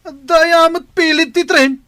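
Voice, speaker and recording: Bright-voiced, quarrelsome drunkard husband acted by a man. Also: extremely high pitch, steady tone, unhurried, full character voice. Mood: defensive grumbling. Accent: Ilocano. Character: quarrelsome, lazy drunkard husband, jobless and touchy. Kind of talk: Ilocano radio drama acting.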